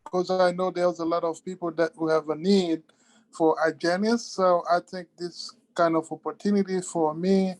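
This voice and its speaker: A man's voice: high-pitched, flat delivery, moderate pace, dry recording. A man from Haiti with a Haitian accent, speaking on a video call and searching hesitantly for words as he explains his situation. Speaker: webinar participant asking a question.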